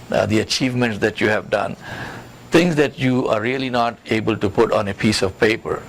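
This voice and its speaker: A man giving career advice in an interview as a coach, with an instructive, emphatic manner that repeats key points. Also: mid-range voice. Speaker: career coach and job search expert. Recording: clearly noisy background.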